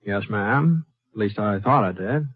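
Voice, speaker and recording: An actor with an American accent playing an easygoing, courteous cowboy gunfighter in a radio western, with a folksy, hesitant, stammering delivery. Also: male voice, fairly low pitch, expressive, a little slow, dry recording. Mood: polite, flustered. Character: easygoing, soft-hearted drifting cowboy gunfighter, courteous to ladies. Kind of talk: old-time radio western drama acting. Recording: muffled, thin vintage radio recording.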